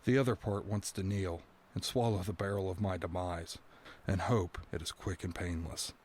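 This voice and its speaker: A man's voice: sits low, steady tone, normal speed, darkish tone, slight background noise, natural voice. An actor playing a dread-filled prisoner awaiting his doom, delivering a brooding first-person monologue in an audio drama. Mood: bitter resignation. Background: background music.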